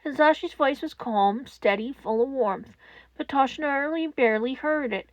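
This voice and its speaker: Female story narrator, very high pitch, solemn measured phrasing, dramatic pauses, dry close sound. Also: no echo, highs cut off. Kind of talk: dramatic scripted fan fiction narration.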